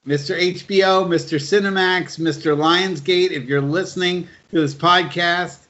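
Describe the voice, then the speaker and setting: A man's voice: high-pitched, steady tone, moderate pace, pushed and bright. A man hosting a podcast interview in a casual, conversational way. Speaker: podcast host. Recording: dull lo-fi call audio.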